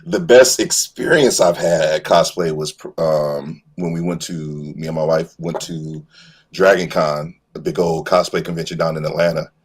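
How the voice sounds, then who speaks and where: A man's voice: rumbling low, moderate pace, husky. A man chatting casually as a guest on a livestream, easygoing and hesitant as he explains things with enthusiasm. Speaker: guest voice actor and cosplayer. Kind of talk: casual chat.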